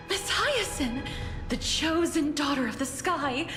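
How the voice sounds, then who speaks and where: A woman's voice: sits high, animated, takes it slowly, pushed and bright, reverberant, in a large hall, faint music behind, full character voice. A woman voicing a sweet, adoring, awestruck little child in a fantasy video game.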